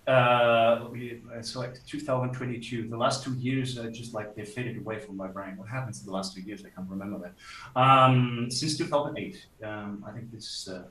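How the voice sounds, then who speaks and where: A man's voice: medium pitch, steady tone, moderate pace, sharp tone, a little hiss or hum. German man on a distant roomy mic. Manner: rambling, self-correcting, laughs easily. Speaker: blockchain developer and stream co-host. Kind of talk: casual livestream chat. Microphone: computer mic on a video call.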